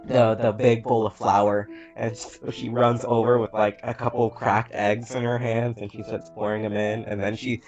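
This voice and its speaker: A man's voice: on the low side, little pitch movement, moderate pace, few pauses, a little mellow. Young guy player stumbling thru his turn, muddy lo-fi audio, faint music. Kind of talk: playing a tabletop game. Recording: dull lo-fi sound with faint music.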